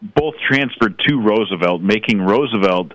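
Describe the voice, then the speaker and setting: A man giving conversational sports analysis as a broadcast guest, measured and explanatory. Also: lowish voice, animated, dry recording, dull audio.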